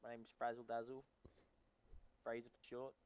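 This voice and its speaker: Joking young Australian man, medium pitch. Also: completely flat delivery, moderate pace, darkish tone, smooth, dull audio. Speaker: podcast participant. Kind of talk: casual chat.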